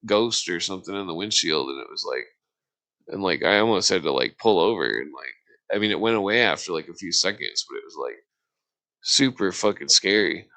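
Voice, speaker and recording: A middle-aged man with a low, bright voice that breaks into fry. He tells casual, rambling stories haltingly, circling back on himself in profane, slangy language. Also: lively intonation.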